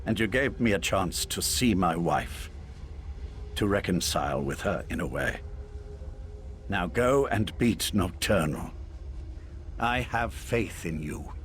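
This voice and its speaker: An actor playing a calm, self-sacrificing mage in a video game, measured, formal and wry with quiet regret. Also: male voice, low-pitched, slight background noise, full character voice. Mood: resigned, regretful.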